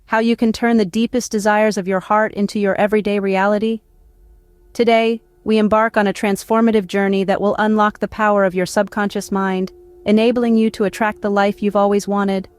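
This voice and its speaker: A woman narrating a scripted guided meditation in a measured, instructional way. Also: medium pitch, clean tone. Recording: background music behind voice. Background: music.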